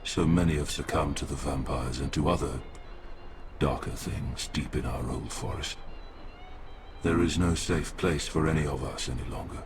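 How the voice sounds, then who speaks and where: An actor playing a grave, weary village elder in a video game, speaking in a solemn, mournful and consoling manner. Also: male voice, a bass voice, normal speed, background noise. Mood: weary gloom. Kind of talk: video game character dialogue.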